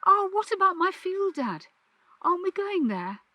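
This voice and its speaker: A woman's voice: very high-pitched, very animated, moderate pace, bright, forceful tone, no room sound, voice pushed up, full character voice. A woman voicing an eager, curious young girl in a storybook reading. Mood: eager, questioning.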